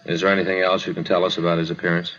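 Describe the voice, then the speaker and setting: A muffled 1950s radio recording of a policeman. He has a low, flat voice and puts his questions politely and in a businesslike, procedural manner. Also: racing. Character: businesslike male police officer questioning a victim. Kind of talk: 1950s radio drama acting.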